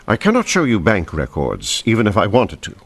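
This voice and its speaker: A crooked, haughty banker in a radio mystery. His voice is fairly low and moves expressively. He speaks with formal, indignant polish. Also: huge pitch swings, a little fast, fairly bright tone, breathy edge, heavily acted. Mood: coolly cooperative. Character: smooth, indignant, crooked male banker villain. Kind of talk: old-time radio drama acting.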